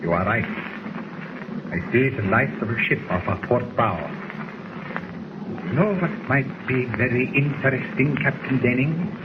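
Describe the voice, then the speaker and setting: An actor playing a cold, smug foreign spy leader with a German accent, menacing and mock-courteous, in 1940s radio drama style. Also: male voice, medium pitch, animated, a little slow, pauses often, close to an everyday voice. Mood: coolly gloating. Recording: scratchy tinny 1940s radio recording, heavy noise.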